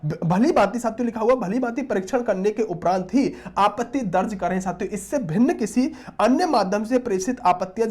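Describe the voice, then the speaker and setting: A man's voice: sits high, a little mellow. A man giving an informal exam update as a teacher, reading out a notice and often addressing viewers as friends. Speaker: exam-prep teacher and presenter.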